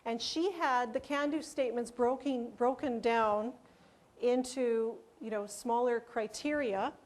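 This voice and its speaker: A woman's voice: fairly high pitch, measured pace. Woman presenting at a conference. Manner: explanatory, with fillers and restarts. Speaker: language education presenter.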